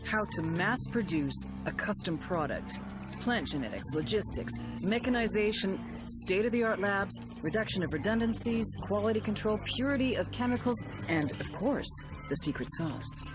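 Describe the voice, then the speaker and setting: A woman's voice: medium pitch, expressive, normal speed, over music, lightly acted. A woman playing a tough, sardonic intelligence agent in a video game, with an American accent and a blunt, punchy delivery. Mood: sardonic briefing. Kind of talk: cinematic video game acting and briefing narration.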